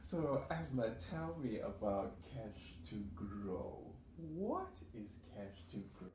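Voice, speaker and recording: A man's voice: medium pitch, sing-song, slow pace, noisy background. A man hosting a casual interview show in an upbeat, chatty way, often laughing. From Africa. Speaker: show host. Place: room at a wooden table. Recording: roomy, slightly distant mic.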